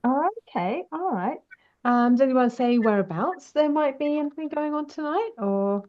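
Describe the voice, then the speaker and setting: A woman's voice: sits high, lively intonation, moderate pace. A woman playing a tabletop roleplaying game, speaking casually in character in a hesitant, polite way, on muffled audio.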